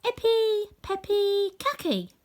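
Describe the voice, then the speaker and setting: A woman's voice: very high voice, big pitch swings, slow, darkish tone, pitched above the performer's own voice, exaggerated voice. A woman voicing an earnest, polite little girl who is hopeful and questioning, in a storybook reading.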